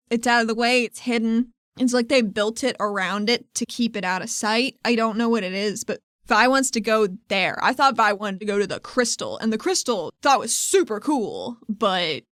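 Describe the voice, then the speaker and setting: A woman's voice: fairly high pitch, dry recording, clean studio sound. Playful woman playing a tabletop game. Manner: lively, joking, quick to laugh. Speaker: player. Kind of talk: casual tabletop play.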